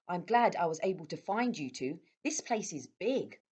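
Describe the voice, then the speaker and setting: Woman playing a patient, expressive storybook mum. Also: on the low side, normal speed, husky. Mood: pleasantly surprised. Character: patient, kindly mother in a children's storybook.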